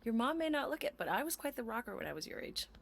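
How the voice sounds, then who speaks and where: A woman playing a warm, teasing mother of a teenage boy in a video game, with a husky, breathy voice. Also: fairly high pitch, big pitch swings, fast, slight background noise. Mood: fond, nostalgic. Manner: teasing, affectionate. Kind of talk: naturalistic video game acting.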